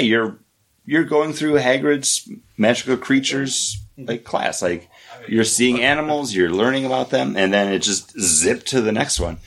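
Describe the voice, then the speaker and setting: A man co-hosting a podcast, chatting excitedly about theme park rides with lots of "like" fillers. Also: medium pitch, slightly rough. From lives in Orlando. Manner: excited, filler-heavy storytelling. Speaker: podcast co-host. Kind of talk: casual chat.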